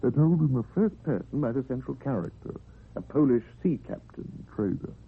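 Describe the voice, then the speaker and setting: A thoughtful, earnest doctor, very husky with a creaky edge. His pitch moves in a lively way through radio drama dialogue. The sound is narrow and muffled, like old radio. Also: normal speed, heavily acted. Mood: earnest, intrigued. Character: earnest, thoughtful male doctor, a married man.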